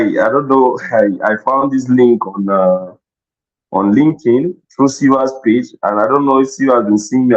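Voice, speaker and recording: A man from Cameroon thanking the hosts at an online meetup, warm and grateful, stumbling over repeated words. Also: on the low side. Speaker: meetup participant. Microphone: video call. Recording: dull lo-fi call audio.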